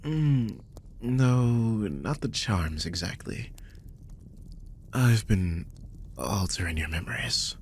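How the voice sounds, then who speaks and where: A man playing a protective, guilt-ridden magical guardian in an intimate roleplay audio drama, speaking softly and hesitantly in a husky, breathy voice that often drops toward a whisper. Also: on the low side, lively intonation, takes it slowly, long pauses, a little hiss or hum. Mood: guilty, hesitant. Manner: soft, hesitant, soothing, with frequent sighs.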